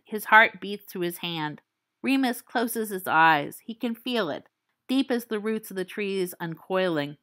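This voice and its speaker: A woman reading fan fiction aloud as an audiobook, in a calm, measured, soft manner. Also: medium pitch. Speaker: podfic reader.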